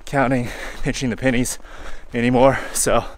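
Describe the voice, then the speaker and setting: A man in his forties, hot and sweaty, talking casually to a handheld camera while hiking outdoors, relaxed and rambling with fillers. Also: mid-range voice, normal speed, rough recording. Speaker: hiking vlogger. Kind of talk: casual vlog talk to camera. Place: outdoors on a forest trail. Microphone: handheld camera held at arm's length.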